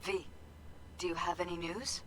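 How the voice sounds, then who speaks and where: A woman's voice: fairly low pitch, steady tone, normal speed, background noise. An actress playing an anxious, guarded woman on a video game holo-call, wary and insistent through a call filter. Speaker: game character, client.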